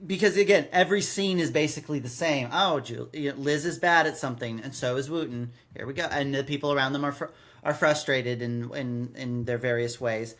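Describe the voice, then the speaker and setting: A man's voice: mid-range voice, a little fast, a bit bright. A man co-hosting a podcast, recapping a story in casual chat with many repeated words and rambling, exasperated asides. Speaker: podcast co-host. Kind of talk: casual chat, recapping and critiquing a radio drama. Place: recorded in person with co-host.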